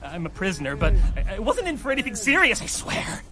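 A man's voice: high-pitched, wildly swooping pitch, quick pace. An actor playing a frightened, pleading male prisoner in a motion comic, stammering and repeating himself nervously. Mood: panicked pleading. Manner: stammering, repetitive, nervous babbling. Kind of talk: dramatic motion comic voice acting. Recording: voice over loud dramatic music.

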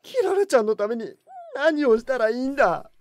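A man's voice: very high-pitched, animated, brisk, darkish tone. A man playing an emotional senior studio man with an intense, blunt manner in a Japanese audio drama. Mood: despondent. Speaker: voice actor.

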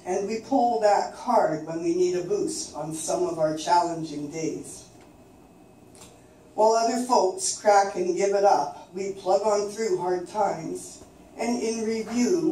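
An older woman from coastal British Columbia reading her own poems aloud in a slow, measured recitation at an open mic in a small venue. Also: fairly low pitch, dark tone. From coastal British Columbia, Canada. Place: small dim performance venue, indoors. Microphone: far camera. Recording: very echoey room, distant, faint noise.

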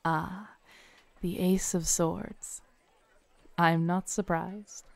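Knowing female tarot reader, acted by a woman, audio roleplay; soothing, very slow delivery, dry close recording. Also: lowish voice, fairly even intonation, no room sound, exaggerated voice. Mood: solemn, encouraging. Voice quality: smooth, soft. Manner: unhurried, soothing, theatrical, with dramatic pauses. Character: kindly, playful, knowing Renaissance faire tarot reader woman. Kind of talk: soft-spoken audio roleplay addressed to the listener. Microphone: close studio mic, dry.

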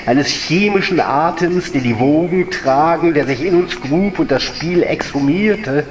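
A man's voice: on the high side, a little slow. A man, an author, reciting his own literary text in a solemn, declamatory manner. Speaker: author reading own work. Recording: echoey room, distant mic, music underneath. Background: music.

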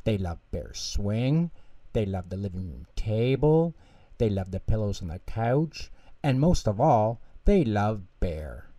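Low, mellow male storyteller. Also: sing-song, slow, dry recording, far from the mic, muffled recording. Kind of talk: scripted bedtime story narration.

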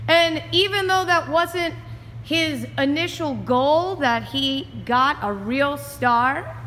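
A high, bright woman's voice, speaking slowly as a PE teacher coaching kids in a school gym. She praises them and keeps them moving. A long gym reverb hangs on her words, with faint music underneath. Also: slight background noise. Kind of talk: classroom instruction. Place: school gymnasium.

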